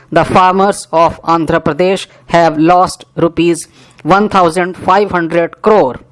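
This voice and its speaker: A reader, dictating a parliamentary speech slowly, phrase by phrase, for shorthand practice. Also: mid-range voice, poor audio. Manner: measured, deliberate phrase-by-phrase reading.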